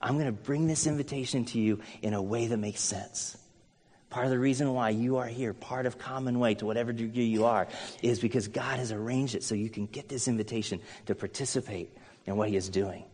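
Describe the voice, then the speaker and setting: A man's voice: mid-range voice, a little fast, darkish tone. A middle-aged American man preaching with an earnest, conversational manner. His voice sits in an ordinary middle register. It rings out with a long hall reverb, as if from a church hall. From United States. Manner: earnest, conversational, with storytelling asides. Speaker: pastor. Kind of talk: sermon.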